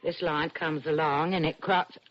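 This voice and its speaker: An actress in an old-time radio drama playing an anxious, nagging, sharp-tongued working-class wife with an argumentative, theatrical delivery. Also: female voice, deep voice, steady tone, a little slow. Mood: eager, scheming. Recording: dull, noisy old radio recording.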